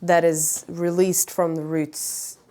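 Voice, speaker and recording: A woman's voice: fairly low pitch, flat delivery, takes it slowly, warm tone. A woman in her thirties, a mother recovering from a cold, chatting casually and rambling about her houseplants with a nasal voice. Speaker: plant vlogger, mother. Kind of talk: casual chat.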